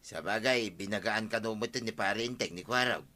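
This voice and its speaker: A gossipy village man in an Ilocano radio comedy-drama. His voice is bright and punchy, with an Ilocano accent and a familiar, neighborly manner. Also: on the low side, a little fast. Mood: chatty.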